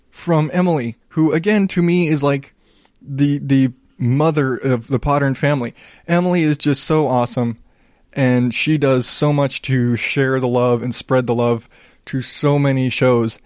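A man in his thirties hosting his own podcast, rambling casually in a calm, smooth voice and poking fun at himself. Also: mid-range voice, no echo, studio quality. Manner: rambling, self-deprecating, reads reviews aloud. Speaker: podcast host. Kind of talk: casual solo podcast monologue.

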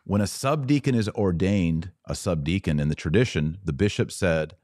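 Male podcast host, low-pitched and dry close sound, didactic, informal teaching delivery for viewer questions. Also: normal speed, darkish tone, dry recording. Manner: didactic, explanatory, asks and answers own questions. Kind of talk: live Q&A, informal religious teaching.

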